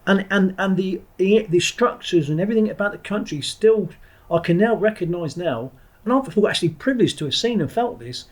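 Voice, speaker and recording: Husky middle-aged man. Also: high-pitched, expressive, fairly quick. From England. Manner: blunt and digressive, with frequent restarts and the odd swear word. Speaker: podcast guest, former Marine trainer. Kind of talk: reflective interview talk.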